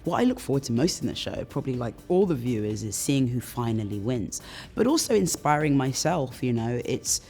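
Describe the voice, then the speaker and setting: A woman stylist speaks in a deep voice with plenty of gravelly fry. She talks calmly and thoughtfully to camera, with music playing faintly behind her. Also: brisk. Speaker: stylist and judge. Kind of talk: promo interview to camera.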